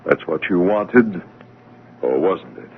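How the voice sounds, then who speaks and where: An actor playing a calm, enigmatic spirit guide of the afterlife in a radio drama, speaking in measured, cryptic phrases. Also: male voice, sits low, gravelly, narrow, tinny audio, heavily acted. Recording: noisy, band-limited old radio broadcast.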